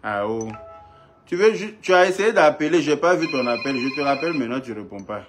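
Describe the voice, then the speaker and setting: A man's voice: mid-range voice, animated, fairly quick, faint music behind. A man hosting a live stream who takes phone callers and readily agrees with them. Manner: agreeing, brief backchannels. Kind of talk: casual live-stream talk taking calls.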